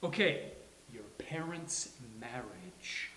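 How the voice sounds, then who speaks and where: A man's voice: medium pitch, animated, slow pace, pushed and bright. A man playing a wry, slightly anxious man in a sitcom table read, with dry, hesitant delivery. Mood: eager. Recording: hissy, roomy live recording.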